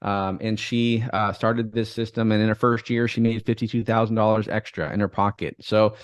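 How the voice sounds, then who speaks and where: Man, lowish voice, dry close mic; easygoing rambling interview chat. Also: normal speed, clean tone, dry recording. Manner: relaxed, rambling, many fillers and restarts. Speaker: guest, affiliate marketer. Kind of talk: casual interview talk.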